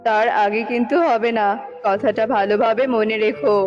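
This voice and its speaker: A woman's voice: on the high side, fairly even intonation, normal speed, darkish tone, close to an everyday voice. A woman voicing a stern, wise tantric healer in a cartoon, solemn and instructive. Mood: solemn warning. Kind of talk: cartoon dubbing. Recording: dull lo-fi audio with music bed. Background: music.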